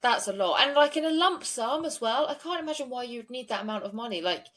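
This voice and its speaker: A woman's voice: a little high, expressive, fast, fairly bright tone. Giggly young woman. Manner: giggly, chatty, lots of "like". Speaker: podcast co-host. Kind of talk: casual true-crime chat.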